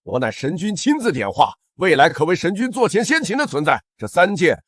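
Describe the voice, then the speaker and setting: An actor playing an arrogant elder sect ancestor villain, bombastic and theatrical, in a historical fantasy drama. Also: male voice, a little high, big pitch swings, a little fast, a bit bright, slight background noise. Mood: gloating.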